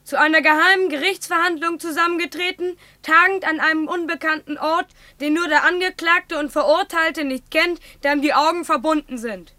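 A woman's voice: extremely high pitch, fairly even intonation, normal speed, few pauses, bright, forceful tone, dry recording. A woman playing a stern, pompous child who formally reads out a court record in a German radio play. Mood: officious, stern. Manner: officious, formal recitation.

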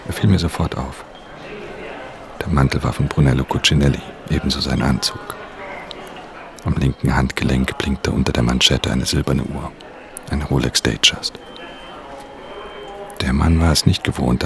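An actor playing a weary, watchful pickpocket who narrates a radio crime drama in a measured, introspective way. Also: male voice, extremely low pitch, fairly even intonation, creaky, noisy background. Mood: brooding, watchful. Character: male professional pickpocket, haunted, watchful, weary loner.

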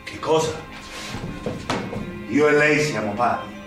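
An actor playing a stern, indignant young magistrate in a radio play, with an emphatic, commanding delivery. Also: male voice, fairly high pitch, slow, music in the background. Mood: outraged. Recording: very echoey, distant, faintly noisy recording.

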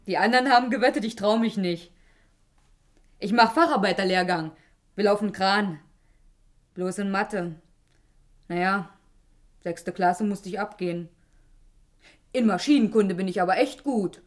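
An actress playing a self-deprecating young working-class woman in a radio drama, blunt, colloquial and halting. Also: mid-range voice, close to an everyday voice. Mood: shy but blunt.

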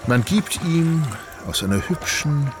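A man acting as narrator of a literary radio drama, an ornate, wry storyteller with a measured delivery. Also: fairly high pitch, animated. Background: music.

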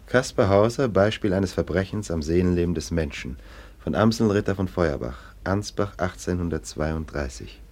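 Very deep, scornful police lieutenant. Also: male voice, moderate pace, a little mellow. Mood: mocking. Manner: sardonic, dismissive. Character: scornful, domineering male police lieutenant, sceptical and sly. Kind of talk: German radio play acting.

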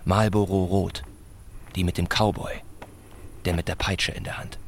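A man narrating a literary radio play about village life in a sombre, measured, deliberate way. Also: sits low, flat delivery, slight background noise. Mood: measured, reflective.

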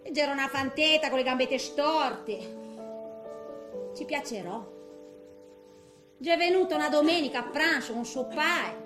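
A woman's voice: high-pitched, normal speed, pauses often, music in the background, lightly acted. An actress playing a feisty, bitter, garrulous widow in her eighties in a theatrical comic monologue, grumbling colloquially in with little interjections. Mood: wistful reminiscing. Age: 80s.

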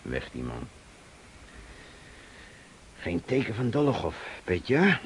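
An actor in a Dutch radio play playing a gruff, commanding cavalry officer who barks orders and questions. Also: male voice, deep voice, wildly swooping pitch, slow, a little hiss or hum, full character voice. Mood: stern, commanding. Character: brisk, commanding male cavalry officer, gruff but amused. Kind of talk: Dutch radio drama acting.

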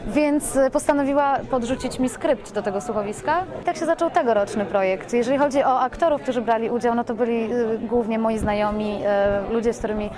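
A woman's voice: on the high side, a little fast, few pauses. A woman giving a spontaneous interview as a producer, effusive and rambling, often correcting herself mid-sentence. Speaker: radio play producer being interviewed. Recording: busy crowd chatter behind voice. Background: crowd at a public event.

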